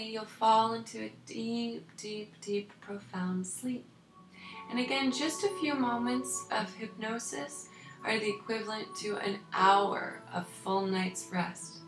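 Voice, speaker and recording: A woman hypnotherapist giving a calm coaching talk about sleep, soothing and unhurried, her voice a little tired and deeper than usual. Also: echoey room, low-quality recording. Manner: soothing, unhurried, repeats words for emphasis.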